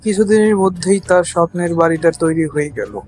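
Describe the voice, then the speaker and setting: A man's voice: high-pitched, fast, darkish tone, faint music behind. A man reading scripted narration for a cartoon moral story.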